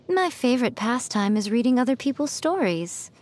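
An actress playing a sly, haughty shrine maiden trickster in a video game, teasing, smug and coy. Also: female voice, on the high side, sing-song, normal speed, darkish tone. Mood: smug, amused. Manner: smug, coy, drawling amusement. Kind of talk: English video game character voice lines.

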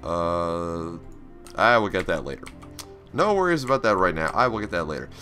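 Slightly raspy young man playing a game. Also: deep voice, big pitch swings, moderate pace, bright, forceful tone, faint music behind. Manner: chatty, reads on-screen text aloud, upbeat. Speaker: gaming channel host. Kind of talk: casual let's play commentary.

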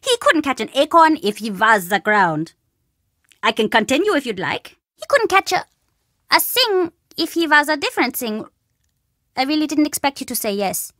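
A woman's voice: high voice, sing-song, normal speed, sharp tone, no room sound, studio quality, exaggerated voice. A woman voicing a mischievous, imaginative, chatty young boy in a video game, with cheeky, dramatic bluster. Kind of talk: video game character dialogue.

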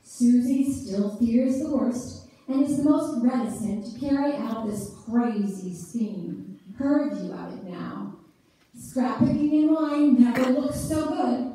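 A woman narrates a staged reading in a soft, mellow tone. Her pace is slow and her pitch sits fairly high. The sound is distant, from a roomy church. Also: expressive. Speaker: narrator in amateur reader's theater. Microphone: distant audience camera. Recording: echoey church hall from far off.

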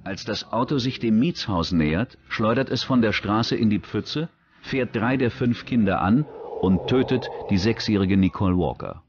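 A man reading scripted true-crime documentary narration in a measured, factual way. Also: fairly low pitch, animated, muffled recording, a little hiss or hum.

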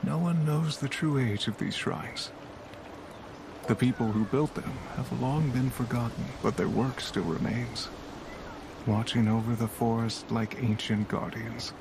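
An actor playing a solemn, regretful older village mentor and hunter in a video game, gravelly, measured and grave. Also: male voice, mid-range voice, steady tone, noisy background. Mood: grave, guiding. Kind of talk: scripted video game dialogue.

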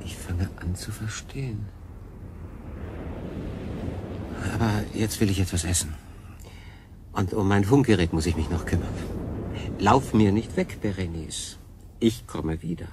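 An actor playing a stranded solo sailor in a radio drama, wry, curious and plain-spoken. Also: male voice, low voice, normal speed, background noise, lightly acted. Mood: wry, matter-of-fact.

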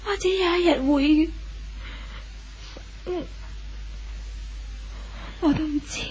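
A remorseful young wife talks hesitantly in an extremely high voice. Her accent is Hong Kong Cantonese, and the delivery is that of 1970s radio drama. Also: takes it slowly, a little mellow, slight background noise. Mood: tentative, sad.

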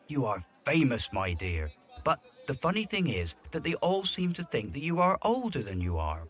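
A man's voice: medium pitch, natural voice. An actor playing a middle-aged, fatherly but irritable butler in a Victorian audio drama, with formal, precise phrasing. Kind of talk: period audio drama acting.

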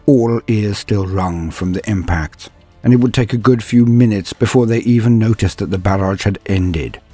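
A man's voice: on the low side, moderate pace, warm tone, slightly rough, faint music behind. A man narrating scripted fiction and lore in a theatrical, grandiose storyteller manner.

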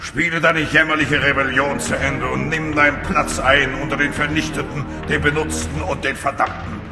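A man playing an ancient, cruel tentacled god in a video game, solemn, pompous and commanding, with a booming reverb effect. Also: level tone, normal speed. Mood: menacing, commanding. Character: ancient menacing male parasitic god, imperious, tentacled, cruel. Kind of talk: dramatic video game acting. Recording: long cavernous reverb, music underneath.

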